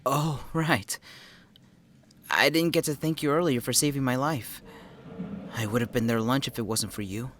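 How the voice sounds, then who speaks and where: A man's voice: medium pitch, normal speed, pauses often, fairly bright tone, close to an everyday voice. A man playing a young former police officer in an audio drama, earnest, hesitant and often puzzled. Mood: weary, grateful. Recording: background music under the voice.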